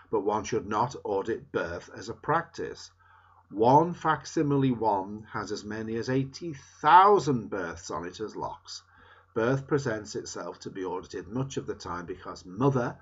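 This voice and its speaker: Male book reader, slightly husky, very animated intonation, deliberate emphatic phrasing, muffled low-fidelity sound. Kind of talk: reading a book aloud. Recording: dull, lo-fi sound.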